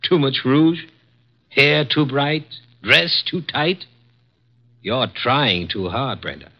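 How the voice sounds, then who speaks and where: A cruel sneering ad executive. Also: male voice, medium pitch, expressive, takes it slowly. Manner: sneering, cold, mocking. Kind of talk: 1950s radio drama acting. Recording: dull old radio broadcast.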